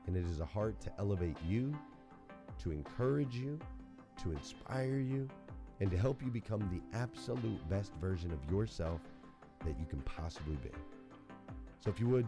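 A man's voice: low voice, animated, moderate pace. A man hosting a podcast and delivering an upbeat, motivational intro speech. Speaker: podcast host. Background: music.

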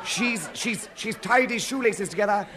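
An actor playing an excitable ringside wrestling commentator in a 1950s radio comedy, with urgent, breathless play-by-play. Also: male voice, high voice, moderate pace, natural voice. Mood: excited, incredulous. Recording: noisy old radio recording, loud crowd.

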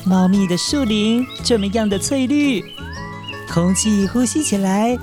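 A man voicing an excitable, curious young boy in a children's fairy tale, exclaiming with wonder. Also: high-pitched, a little slow, music in the background. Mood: awed, happy.

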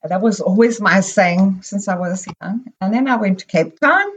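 A middle-aged woman from Zimbabwe, a podcast guest recalling her life abroad in an unhurried, meandering way with many pauses and fillers. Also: mid-range voice, slightly rough. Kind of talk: casual interview conversation.

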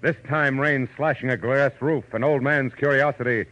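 A man's voice: mid-range voice, fairly even intonation, moderate pace, fairly bright tone, lo-fi sound, lightly acted. An American man playing a weary, cynical hard-boiled detective in 1940s radio drama, clipped and sardonic. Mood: hard, dramatic. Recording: old radio broadcast with music.

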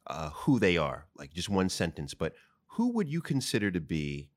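A man hosts a casual podcast with an enthusiastic, affirming manner. His voice is low but swings animatedly in pitch, recorded close and dry. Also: big pitch swings, moderate pace, dry recording. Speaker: podcast host.

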